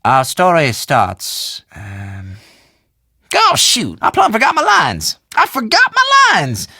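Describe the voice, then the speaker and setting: Folksy, swooping Southern country shopkeeper. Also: male voice, high-pitched, wildly swooping pitch, slow pace, creaky, bright, forceful tone, slightly rough, dry recording, clean studio sound, heavily acted. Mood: nervous, flustered. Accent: American Southern or South Central country. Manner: folksy, rambling, theatrical drawl. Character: folksy, chatty, forgetful small-town male shopkeeper. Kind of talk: video game voice acting.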